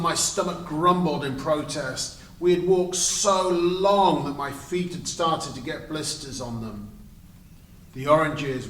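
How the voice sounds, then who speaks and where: A man giving an expressive, emphatic dramatic reading aloud, in the style of a teacher telling a story. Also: medium pitch. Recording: roomy, distant mic, faint noise.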